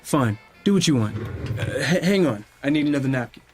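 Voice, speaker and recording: A man acting a protective, touchy high school athlete in an audio drama, speaking in a casual, clipped way. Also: lively intonation, a little fast, soft background music, close to an everyday voice. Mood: sullen.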